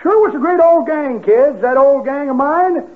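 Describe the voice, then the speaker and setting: A man's voice: very high voice, normal speed. An actor playing a spry, nostalgic old man in a 1950s radio comedy, wisecracking in a folksy, colloquial way. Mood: jokingly reminiscing. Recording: muffled, narrow-band 1950s radio recording.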